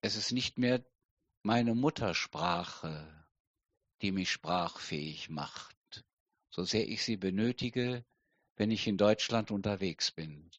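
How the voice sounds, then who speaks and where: A middle-aged man preaching a sermon slowly and deliberately, with emphatic pauses. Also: on the low side, a bit bright, no echo, highs cut off. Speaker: pastor.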